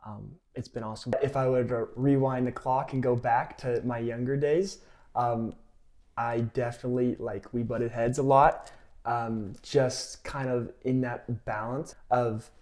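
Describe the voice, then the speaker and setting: A man's voice: mid-range voice, level tone, unhurried, a little mellow. A man of twenty-one with an American accent, telling stories casually in an interview as a college athlete. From California, United States. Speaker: college soccer player.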